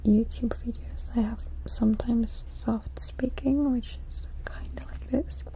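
A young woman whispering softly into two sensitive foam-covered microphones during an ASMR live stream in a small indoor room. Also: on the high side, fairly even intonation, a little slow, low-quality recording. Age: young adult. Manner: soft unvoiced whispering close to the mics. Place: small indoor room before a blue backdrop. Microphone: two foam-covered shotgun mics right at her face.

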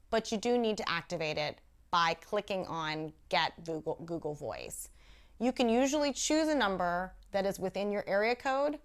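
A woman in her thirties hosting an instructional video, explaining each step clearly to camera with conversational asides. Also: medium pitch. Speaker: video host and presenter. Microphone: lapel mic.

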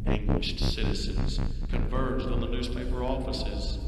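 A man's voice: medium pitch, fairly even intonation, a little slow, heavy background noise. A man delivering dramatic scripted narration for a historical stage play. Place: large theatre hall, over PA, filmed from audience. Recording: long hall reverb, loud music behind.

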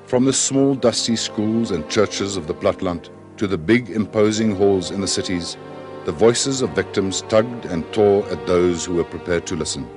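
A man giving solemn, measured scripted documentary narration. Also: low-pitched. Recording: narration over music.

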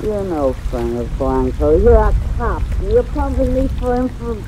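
An actress playing a lonely, drunk woman barfly in an old radio drama, slurring, halting and belligerent. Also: mid-range voice, natural voice. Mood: slurred, suspicious. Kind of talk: 1940s radio drama acting. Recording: old radio broadcast, noisy with rain.

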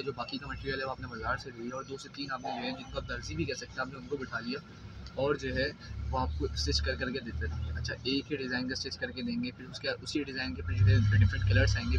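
A young man rattles off casual business advice in a fast, chatty stream with lots of fillers and restarts. His tone stays flat and even. The phone recording is muffled and distant, with loud noise in the background. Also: medium pitch. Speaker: host.

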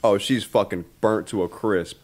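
A man's voice: lowish voice, fairly even intonation, moderate pace, a little mellow. A man giving casual, slangy, profane and incredulous reaction commentary on a video game. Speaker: gaming commentator.

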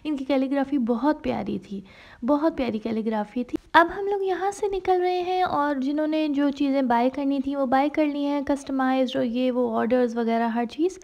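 Woman, travel vlogger, high voice, gravelly edge, chatty voice-over, lo-fi sound. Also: moderate pace, a little mellow. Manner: chatty, mixes English phrases into Hindi-Urdu, many fillers. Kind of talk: casual travel vlog narration.

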